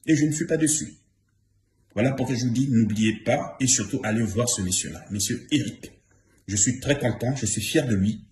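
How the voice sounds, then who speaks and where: A man's voice: mid-range voice, normal speed, darkish tone. A man in his fifties giving an informal, emphatic spoken testimonial, repeating himself for stress. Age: 50s. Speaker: former trainee giving testimonial. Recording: roomy echo, faint noise and clicks.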